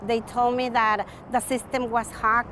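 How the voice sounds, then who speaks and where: High-voiced woman, noisy street-like recording. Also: level tone, a little slow, a bit bright. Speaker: hospital patient interviewee. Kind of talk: unscripted news soundbite. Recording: noisy recording with vehicle sounds.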